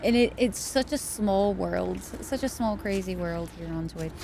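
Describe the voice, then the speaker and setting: A woman streams a game late at night and chats casually with her viewers in a tired, easygoing way. Her voice sits at a middle pitch, and there is a faint hiss of noise behind it. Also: unhurried, vocal fry. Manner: chatty, thanks viewers and reads chat aloud. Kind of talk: casual chat while gaming live.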